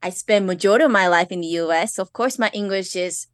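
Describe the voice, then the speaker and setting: A woman podcast host with a slight Japanese accent, a native Japanese speaker, talking in a chatty, expressive way. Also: medium pitch, quick pace, dry recording, studio quality. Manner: warm, chatty, expressive with fillers and laughs. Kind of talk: casual podcast interview.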